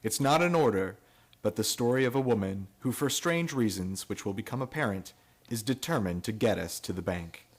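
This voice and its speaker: An actor playing a grave, philosophical host who introduces eerie radio tales in a solemn, scripted manner. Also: male voice, on the low side, animated, normal speed.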